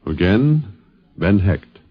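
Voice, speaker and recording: A man's voice: sits low, big pitch swings, takes it slowly. A man narrating a scripted 1950s radio documentary and introducing its speakers. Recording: muffled, band-limited 1950s radio recording.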